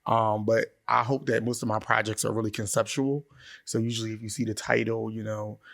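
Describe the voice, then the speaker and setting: A man's voice: fairly low pitch, little pitch movement, fairly quick, gravelly, fairly bright tone. A middle-aged man talking as a singer-songwriter guest in a casual interview, telling stories in colloquial phrasing.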